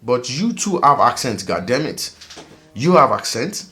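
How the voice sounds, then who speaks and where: A man from Africa hosting his own vlog, talking casually in a rambling, animated way with many fillers. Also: medium pitch, measured pace. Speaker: vlogger host.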